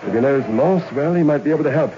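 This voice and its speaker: A man's voice: mid-range voice, lively intonation, fast talker, natural voice. An actor playing a stern male prison governor, an army major, speaking in a clipped, commanding way in a 1960s radio drama. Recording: dull lo-fi old radio recording with faint hiss.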